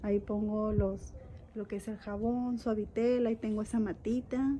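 A woman's voice: mid-range voice, level tone, moderate pace, warm tone, slight background noise, rough recording. A middle-aged woman, chatting casually to viewers as she walks through her house filming on a phone. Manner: chatty, homely, addresses viewers directly. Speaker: vlogger giving a home tour. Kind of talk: casual home tour narration. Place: house and yard, indoors and outdoors. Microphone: phone camera held while walking.

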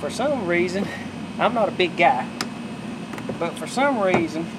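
A man's voice: high-pitched, lively intonation, a little slow. A middle-aged man, chatting casually and rambling as he shows off upgrades to his boat in a backyard. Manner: rambling, folksy, self-correcting. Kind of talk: casual show-and-tell. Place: backyard outdoors. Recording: outdoor camera audio with loud background noise.

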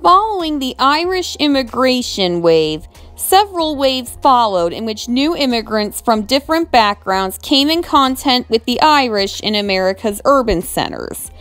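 Lively, higher-pitched woman narrating a lesson. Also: soft background music. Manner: reads from a script, measured and explanatory, with asides to viewers. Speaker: educational video host. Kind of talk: scripted narration over slides. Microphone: voice-over.

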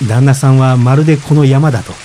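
An actor playing a polite, gentle, self-effacing expectant father in reflective radio drama dialogue. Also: mid-range voice, a little fast, slight background noise, natural voice. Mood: gently reflective. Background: rain.

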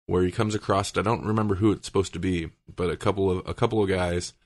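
A man with a very deep voice hosts a casual podcast. He retells things in a loose, rambling, conversational way. The recording is dry and close, with no room sound. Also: fairly even intonation, quick pace, dry recording. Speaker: podcast host.

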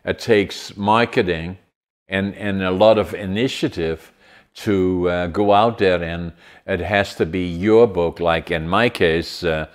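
Dutch-accented older man, very deep. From Netherlands. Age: 80s. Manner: halting, with many fillers and restarts. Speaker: podcast host. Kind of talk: casual interview chat. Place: home room with large window. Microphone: video call.

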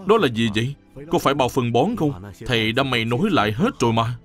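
A man playing an earnest, respectful monk disciple in an animated Buddhist drama. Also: medium pitch, quick pace, over music, lightly acted. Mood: puzzled, respectful.

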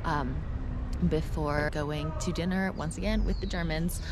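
A woman's voice: deep voice, steady tone, a little slow, background noise. A woman, chatting casually as a travel vlogger in an informal, slangy, emphatic way. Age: 20s. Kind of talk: casual chat to camera, recapping travel.

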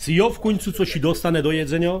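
An actor playing a blunt, bossy but generous older uncle with a Polish highlander accent in a radio drama. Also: male voice, quick pace, close to an everyday voice. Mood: bossy, folksy.

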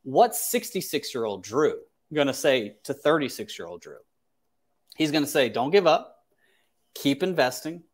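A man's voice: mid-range voice, sing-song, moderate pace. A man in his thirties hosting an advice livestream as a financial advisor, speaking emphatically and repeating phrases for stress. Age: 30s. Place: small indoor home office.

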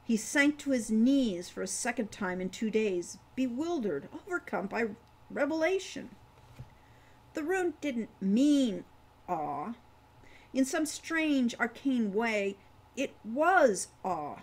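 A woman in her sixties reading fantasy fiction as its author, with deliberate, dramatic phrasing. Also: a little high, very animated, darkish tone. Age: 60s. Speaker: author reading own novel. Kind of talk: audiobook-style fantasy narration. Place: home room with kitchen behind.

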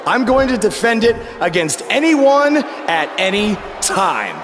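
Game wrestler: brash young upstart, animated high voice, taunting, arena reverb. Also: male voice, unhurried. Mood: defiant. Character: cocky young male wrestler, defiant upstart champion. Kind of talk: wrestling promo in a video game. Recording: echoing arena, noisy ambience.

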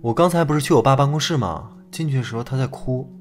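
A man's voice: medium pitch, quick pace, faint music behind, lightly acted. A man playing a young, inquisitive detective in a casual conversational style for an audio drama. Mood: curious. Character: inquisitive young male detective trusting his gut.

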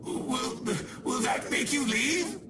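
An actor voicing a scheming, short-tempered shadowy spirit who takes souls, theatrical and mock-polite, in a video game villain style. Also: male voice, very high-pitched, lively intonation, slow, heavy background noise, heavily acted. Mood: exasperated pleading.

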